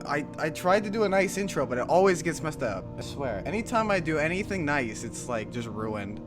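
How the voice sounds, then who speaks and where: A young man hosting a livestream interview, talking in a lively, rambling, self-deprecating way. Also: on the high side, brisk. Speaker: host. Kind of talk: casual livestream chat. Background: faint music.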